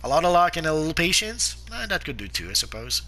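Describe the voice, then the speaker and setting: A man's voice: a little high, sing-song, bright, forceful tone. A man with a sore throat and sniffles, casually talking through a game guide with many fillers and restarts. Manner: halting, frequent "uh" fillers and restarts. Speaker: gameplay guide narrator.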